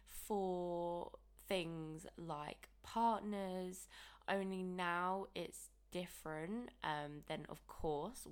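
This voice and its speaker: A young woman in her twenties hosts her own podcast, chatting candidly to listeners. Her voice is croaky from early morning and has a gravelly fry, sitting on the lower side for a woman. She speaks openly and casually, peppering her talk with "like" and "okay". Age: 20s. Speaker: podcast host and coach.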